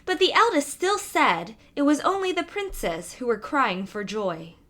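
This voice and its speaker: A woman's voice: sits high, big pitch swings, measured pace, fairly bright tone. A woman reading a fairy tale aloud as a storybook narrator.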